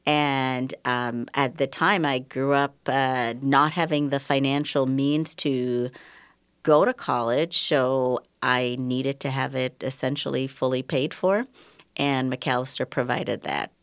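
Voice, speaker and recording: A woman's voice: extremely low pitch, takes it slowly, clean tone, dry recording, studio quality. A woman from the Bahamas with a faint Bahamian accent, a surgeon chatting as a guest on a radio call-in show, with many fillers. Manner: warm, chatty, frequent fillers and laughs. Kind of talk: casual radio interview.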